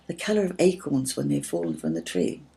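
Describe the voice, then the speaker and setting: A woman's voice: rumbling low, very animated, brisk, vocal fry, mellow, exaggerated voice. A woman voicing a firm, imaginative girl who tells a children's story patiently and dramatically. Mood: firm, wondering.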